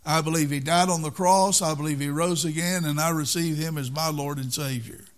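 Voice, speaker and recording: A man's voice: a little high, fairly even intonation, fairly quick. An older man preaching a sermon in an earnest way, repeating key phrases for emphasis.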